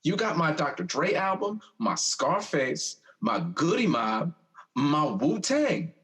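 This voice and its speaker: Cocky younger guy in an audio play, high voice, sarcastic, combative brother type. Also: sing-song, slow pace, fairly bright tone, exaggerated voice. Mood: indignant. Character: indignant, taunting young brother, cocky and possessive. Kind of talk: audio drama dialogue.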